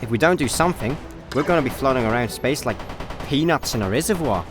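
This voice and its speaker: A man's voice: mid-range voice, expressive, quick pace, close to an everyday voice. A man with a Scottish accent playing a cocky, flippant space layabout in a comic sci-fi radio play. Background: music.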